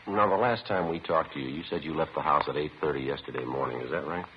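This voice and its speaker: A man playing a terse, methodical police detective in a 1950s radio crime drama, clipped and deadpan. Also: rumbling low, quick pace. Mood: deadpan, probing. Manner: clipped, deadpan, matter-of-fact. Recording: muffled, noisy old 1950s radio recording.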